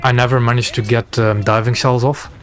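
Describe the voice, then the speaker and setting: A Dutch-speaking man from West Flanders with a Flemish lilt on his English, talking through a game stream. His delivery is loose and rambling, full of restarts as he juggles gameplay calls and viewer questions. His voice sits at an ordinary middle pitch. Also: a little fast, faint music behind. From West Flanders, Belgium. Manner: relaxed, rambling, answers chat between game calls. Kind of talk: casual gameplay stream commentary.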